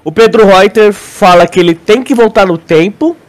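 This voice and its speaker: A Brazilian man with a notably high voice talks as a podcast co-host. He explains things haltingly, doubling back on words and regularly asking for agreement in a casual, conversational way. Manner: halting, repeats words, often checks listener agrees. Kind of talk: casual podcast discussion, theorizing.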